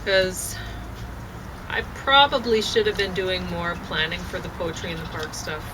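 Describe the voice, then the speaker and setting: A woman's voice: lowish voice, steady tone, normal speed, background noise. A tired woman chatting casually in a rambling way, then reading her own poem aloud outdoors. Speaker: poet and blogger. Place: outdoor deck.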